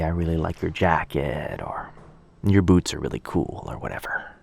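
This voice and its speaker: A man playing a shy, sweet, anxious young stranger in a boyfriend ASMR roleplay, speaking hesitantly, softly and earnestly. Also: low-pitched, vocal fry, heavily acted. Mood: self-doubting. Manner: hesitant, soft-spoken, earnest, self-doubting.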